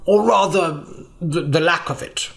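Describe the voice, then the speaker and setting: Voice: mid-range voice, measured pace, vocal fry. A storyteller voicing a pompous older male adventurer who rambles and falters while making things up. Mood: patronising.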